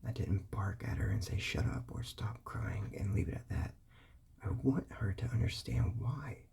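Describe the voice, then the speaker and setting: A man whispering calm, patient parenting advice, repeating his points as he explains. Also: on the low side, moderate pace. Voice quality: whispery, breathy, gravelly.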